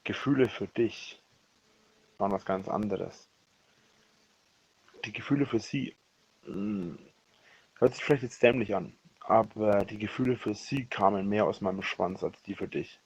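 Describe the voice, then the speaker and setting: Low-pitched man, husky and gravelly, halting rambling personal monologue, self-correcting, dull lo-fi voice message. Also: a little mellow, dry recording. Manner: halting, repeats and corrects himself. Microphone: voice message recorded on a phone. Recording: dull lo-fi leaked voicemail recording.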